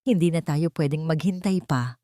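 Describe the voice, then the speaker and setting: A woman's voice: low-pitched, normal speed, dark tone, no room sound, clean studio sound, close to an everyday voice. A woman voicing a cold, calculating, scheming mistress in an audio drama, commanding and urgent.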